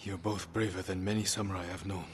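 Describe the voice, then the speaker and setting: Actor as a deep-voiced, stern samurai commander. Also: male voice, flat delivery, music in the background, lightly acted. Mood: firm. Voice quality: low-pitched. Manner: measured, terse, commanding. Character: stern, steadfast male samurai lord, calm commander. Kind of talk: dramatic video game acting.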